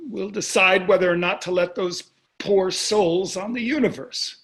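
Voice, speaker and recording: An actor playing a wealthy, cynical husband in a staged play reading, sarcastic and dismissive in delivery. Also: male voice, high-pitched, fairly even intonation, moderate pace, fairly bright tone. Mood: irritated. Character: wealthy, cynical, callous, golf-loving husband.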